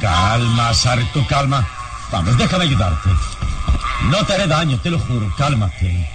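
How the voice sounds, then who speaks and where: An actor playing a brave, calm, protective adventure hero in a vintage radio serial, with a solemn, deliberate delivery. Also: male voice, mid-range voice. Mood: urgent, soothing. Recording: radio serial, distant, music behind.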